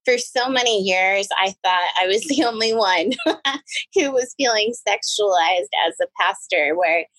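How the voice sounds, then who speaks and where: A woman pastor answering interview questions in an earnest way, with a high-pitched voice. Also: unhurried, a bit bright, no room sound, poor audio.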